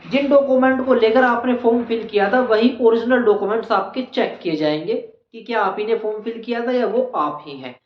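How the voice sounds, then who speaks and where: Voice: medium pitch, brisk. A channel host giving an explainer on exam procedure, repeating key points for emphasis. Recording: echoey room, dull lo-fi, faint noise.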